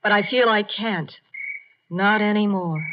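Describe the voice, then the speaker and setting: An actress playing a hurt, suspicious young fiancée in a 1950s radio drama, earnest and accusing. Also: female voice, mid-range voice, measured pace, faint music behind, close to an everyday voice. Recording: noisy muffled vintage radio recording, clicks.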